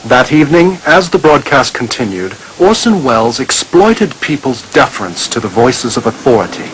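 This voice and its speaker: Lively male documentary narrator. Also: medium pitch, moderate pace, slight background noise, low-quality recording. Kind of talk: scripted narration.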